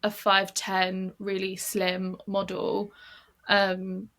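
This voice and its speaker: Young british girl chatting on a podcast, says like constantly, pretty monotone. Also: mid-range voice, drawn-out words. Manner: chatty, fills with "like" often. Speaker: podcast guest, model. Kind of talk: casual interview chat.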